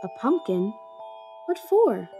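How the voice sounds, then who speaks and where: A woman's voice: a little high, big pitch swings, slow pace, warm tone, faint music behind, heavily acted. A woman voicing a meek, sweet, downtrodden young girl in a children's fairy tale cartoon, timid and plaintive. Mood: wondering, unsure.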